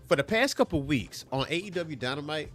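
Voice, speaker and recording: Male co-host, bright tone, congested, easygoing rambling talk. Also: on the high side, animated, fairly quick, faint music behind. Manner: laid-back, rambling, laughs easily. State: stuffy nose from allergies. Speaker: podcast co-host. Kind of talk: casual chat.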